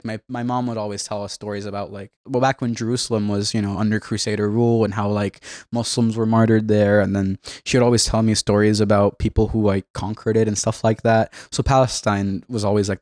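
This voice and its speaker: A man's voice: fairly low pitch, a little mellow, dry recording, clean studio sound. A young man from Bangladesh, a community organizer, talking earnestly and casually as a podcast guest, full of "like" and "you know". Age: young adult. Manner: earnest, filler-heavy with frequent "like" and "you know". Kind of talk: casual interview talk.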